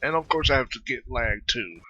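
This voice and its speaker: Husky, bright-voiced man playing a game. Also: mid-range voice, expressive, muffled recording. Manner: halting, stumbling over unfamiliar names. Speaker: gaming video host, player. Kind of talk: casual gameplay commentary, reading game text aloud.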